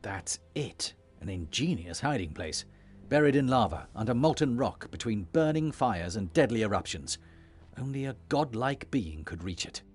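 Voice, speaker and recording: Commanding warrior leader, lowish pitch, lively intonation, decisive, fantasy game. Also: male voice, moderate pace. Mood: reasoning, impressed. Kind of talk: fantasy video game voice acting.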